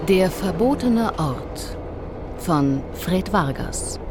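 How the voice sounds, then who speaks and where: A woman's voice: lowish voice, animated, very slow, noisy background. A woman announcer reading scripted credits for a radio drama.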